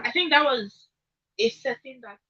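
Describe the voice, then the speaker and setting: A young woman with a Nigerian accent in an animated radio discussion, speaking emphatically with repetition and rhetorical questions. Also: high-pitched, normal speed, muffled recording, very noisy. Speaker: radio talk show speaker.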